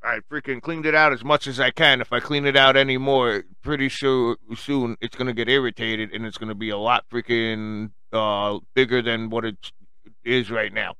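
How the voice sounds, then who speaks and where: A man hosts a casual solo show in a strong Long Island accent. His voice is forceful and clear, and he rambles bluntly, swearing and using slang as he talks straight to his listeners. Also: medium pitch, steady tone, moderate pace, dry recording. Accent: strong Long Island New York. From Long Island, New York, United States. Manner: blunt, profane, slangy, addresses listeners as folks. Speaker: podcast host addressing listeners.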